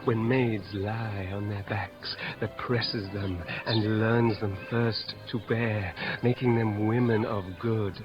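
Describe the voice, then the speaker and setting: An actor playing a witty, teasing young gentleman in a theatrical, playful 1950s radio Shakespeare performance. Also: lowish voice, fairly even intonation, takes it slowly, hardly any pauses. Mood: whimsical, storytelling. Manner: theatrical, playful verse delivery. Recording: muffled old radio broadcast, music behind.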